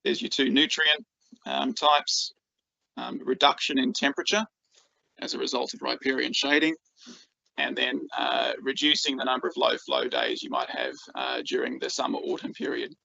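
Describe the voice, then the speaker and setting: A man's voice: mid-range voice, expressive, normal speed, vocal fry, sharp tone, no echo, dull audio. A man with an Australian accent giving a conference talk as a researcher, enthusiastic and full of ums and repeated words. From Australia, rural northeast Victoria.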